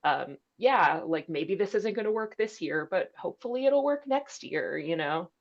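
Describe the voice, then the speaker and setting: A woman's voice: lowish voice, expressive, moderate pace. A woman speaking casually on a librarians' panel, sprinkling in "like", on slightly dull audio. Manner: casual, frequent "like". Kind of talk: informal panel discussion.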